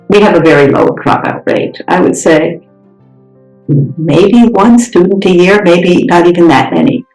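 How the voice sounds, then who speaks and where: A woman's voice: fairly low pitch, gravelly, darkish tone, echoey room, rough recording. An American woman, a program director, patiently explaining how the program works in a podcast interview. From United States. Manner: reassuring, explanatory. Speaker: regional director of a freshman program.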